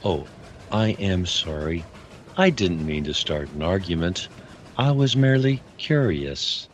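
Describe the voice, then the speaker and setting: Deep-voiced, courteous mysterious traveller played by a man. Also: lively intonation, measured pace, highs cut off, a little hiss or hum. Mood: curious, apologetic. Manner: genial, polite, gently probing. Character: courteous, curious, knowing male mystery traveller. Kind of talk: radio drama acting.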